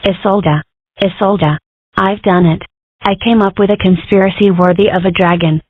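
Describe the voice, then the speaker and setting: A woman voicing a mischievous, excitable little girl in a cartoon comic dub, boastful about her petty schemes. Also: medium pitch, moderate pace, husky, no echo, close to an everyday voice. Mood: gleeful scheming. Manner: gleeful, theatrically boastful. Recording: dry, narrow-band phone-like sound.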